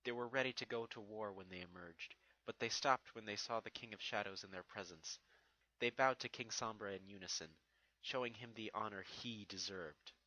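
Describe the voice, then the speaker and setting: A man's voice: fairly low pitch, normal speed, pushed and bright, low-quality recording. A man giving a dramatic scripted narration of a fantasy fan fiction story.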